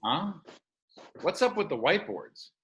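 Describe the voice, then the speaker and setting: A blunt, dismissive boss god played by a man in a comedy script. His voice is sharp and extremely animated, with punchy, brash delivery. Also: a little high, unhurried. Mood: surprised, curious. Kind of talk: comedy script table read.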